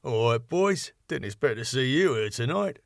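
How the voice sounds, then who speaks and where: A man's voice: mid-range voice, lively intonation, normal speed, creaky, dry recording. A friendly sitcom doorman, voiced by a man reading the script, matey and businesslike at once. He speaks colloquially in a dry, close sound. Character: George, friendly, matter-of-fact male doorman.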